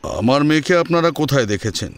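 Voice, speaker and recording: A man's voice: medium pitch, animated, fast talker, no echo, lightly acted. An actor playing a proud, exacting father who formally questions suitors in an audio drama. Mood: proud, questioning.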